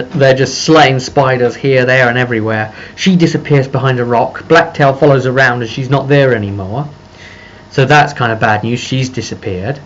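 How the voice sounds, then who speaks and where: A man speaking casually and unscripted as a game master recounting a tabletop session, rambling as he pieces the story together. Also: mid-range voice. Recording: dull lo-fi microphone with faint noise.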